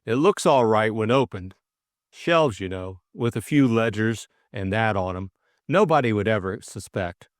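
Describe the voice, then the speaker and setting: A man's voice: fairly low pitch, expressive, unhurried, no room sound, clean studio sound. A man voicing an anxious working-class servant in an audiobook, plaintive and deferential, with ungrammatical speech. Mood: explanatory, deferential.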